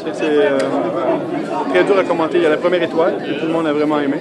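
A man in his thirties with a fairly high voice talks as a festival organiser in a spontaneous interview. A very loud crowd is heard behind the handheld mic. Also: fairly even intonation, fast talker. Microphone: handheld interview microphone. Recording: loud crowd in public venue.

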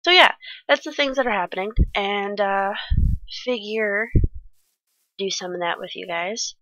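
Woman, congested and stuffy, rambly let's-play commentary, bright forward tone, dry dull home mic. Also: mid-range voice, measured pace, no echo. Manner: rambling, many ums and restarts, chatty. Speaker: Minecraft let's play creator. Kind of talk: casual gameplay commentary.

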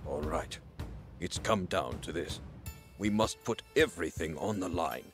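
An actor playing a grizzled, resolute old resistance leader in a video game, solemn and emphatic. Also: male voice, low-pitched, lively intonation, a little slow, music in the background. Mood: solemn resolve. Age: older. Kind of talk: dramatic video game cutscene acting.

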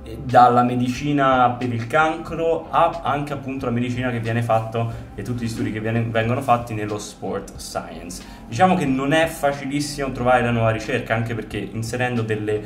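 A man's voice: medium pitch, moderate pace, few pauses, recorded from a distance. A young man in his twenties, a fitness coach chatting casually to camera with lots of fillers, recorded indoors in a bedroom-like room. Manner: chatty, many fillers like "diciamo" and "giusto?". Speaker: fitness coach and video host. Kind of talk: casual explanatory vlog to camera. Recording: roomy sound from away from the mic, faint music behind.

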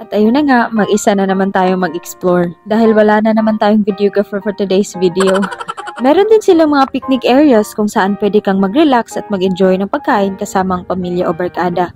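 A woman's voice: medium pitch, warm tone. Playful young Filipino woman vlogger over music. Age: young adult. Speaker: vlogger, narrator. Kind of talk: scripted travel vlog narration.

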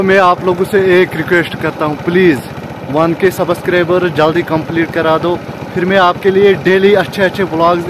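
High-voiced young man vlogging outdoors. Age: 20s. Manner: chatty, repeats phrases for emphasis. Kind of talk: casual outdoor vlog addressed to viewers. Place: outdoors on village roads, paths and by water. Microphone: handheld phone at arm's length. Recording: noisy outdoor phone recording.

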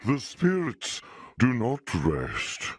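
A man voicing an ancient, solemn tree spirit in a video game, making slow, booming proclamations with menace. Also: wildly swooping pitch, in a large hall, heavily acted. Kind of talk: video game ultimate lines.

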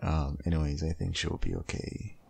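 A man playing a caring, sleepy young father and ex-boyfriend in an ASMR audio roleplay, gentle and hesitant, with a low husky voice. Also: very animated, moderate pace, a little hiss or hum, heavily acted. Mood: calm, reassuring.

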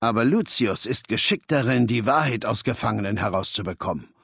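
An actor playing an imperious, menacing warlord ruler in a video game, speaking in a commanding, condescending, deliberate manner. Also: male voice, lowish voice, lively intonation, creaky, a bit bright, husky, no room sound, studio quality, heavily acted. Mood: impatient, cold. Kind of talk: video game dialogue in German dub.

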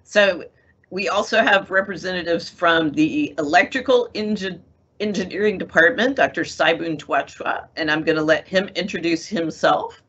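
Middle-aged woman, panel moderator, bright tone, muffled call audio. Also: lowish voice, normal speed, husky. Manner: friendly, organized introductions. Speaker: moderator, assistant dean. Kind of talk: hosting a video-call panel. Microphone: video call. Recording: dull lo-fi video-call audio.

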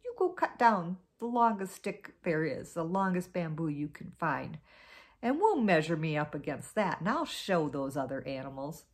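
A woman's voice: deep voice, sing-song, moderate pace. A woman doing a proud, touchy snake. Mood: indignant. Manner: indignant, boastful.

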